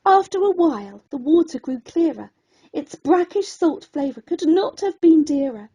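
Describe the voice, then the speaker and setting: A woman storyteller reading a rhyming children's bedtime story in an expressive, theatrical and deliberate way. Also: high voice, dull audio.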